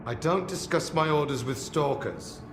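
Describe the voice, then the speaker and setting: A man's voice: a little high, expressive, normal speed, loud music behind the voice, close to an everyday voice. A man playing a cocky, blunt, taunting soldier in a video game cutscene. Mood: cold, hostile. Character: cocky hostile male soldier loyal to his orders. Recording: echoey room, noisy ambience.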